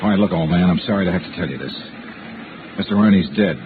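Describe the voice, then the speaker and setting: An actor playing a tough, wry American insurance investigator with clipped, probing delivery in a 1950s radio noir drama. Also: male voice, sits low, fast talker, background noise, close to an everyday voice. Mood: pressing. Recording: tinny, narrow old radio recording.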